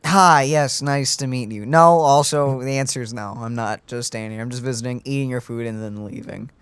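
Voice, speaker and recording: A young man commentating gameplay with wry, teasing remarks. His voice is mid-pitched and recorded close and dry. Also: fairly even intonation, normal speed, a bit bright, clear, resonant tone, no room sound. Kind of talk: casual gameplay banter.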